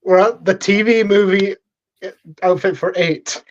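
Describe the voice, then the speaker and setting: A Scottish man chatting on a podcast, enthusiastic and chatty, quick to laugh. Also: high-pitched, fairly even intonation. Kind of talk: casual podcast chat. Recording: dull lo-fi mic.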